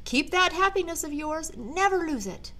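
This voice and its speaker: A woman voicing a huge, wise old friend of a Christmas tree in a storybook, gentle and reassuring. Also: very high-pitched, animated, moderate pace, voice pushed up, exaggerated voice. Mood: fond farewell.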